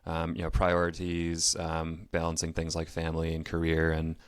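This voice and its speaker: A man's voice: extremely low pitch, monotone, moderate pace, smooth, no room sound. A man hosting a casual podcast, speaking in a conversational, discursive way. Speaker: podcast host.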